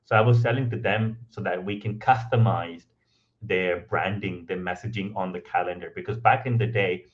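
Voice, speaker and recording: A man speaking English as a second language, guesting on a podcast and telling his story in a relaxed, conversational way, with many ums and "right?" tags. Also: deep voice, normal speed, dull audio. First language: not English. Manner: frequent ums and restarts, tag questions of "right?".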